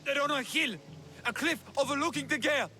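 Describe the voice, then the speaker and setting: A man's voice: extremely high pitch, brisk, bright, forceful tone, slight background noise. An actor playing a cowardly, scheming male bounty hunter in a game cutscene who sneers and then begs under threat. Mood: pleading.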